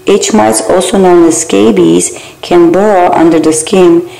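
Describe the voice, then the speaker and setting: Gravelly low-voiced woman reading slides. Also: roomy sound, poor audio. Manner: steady, measured slide reading with small grammar slips. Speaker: barbering theory instructor. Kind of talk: reading exam slides aloud.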